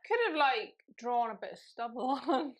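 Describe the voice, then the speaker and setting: A woman co-hosting a podcast, chatting casually with quick, giggly reactions. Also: fairly high pitch, lively intonation. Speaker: podcast co-host. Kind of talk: casual chat.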